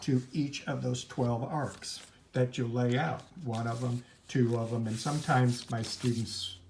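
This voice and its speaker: A man's voice: medium pitch, fairly even intonation, a little slow, vocal fry, darkish tone. A man teaching art in an informal, chatty, rambling hands-on demonstration, easily drifting into asides. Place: at home, indoors. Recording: noisy home recording, clicks.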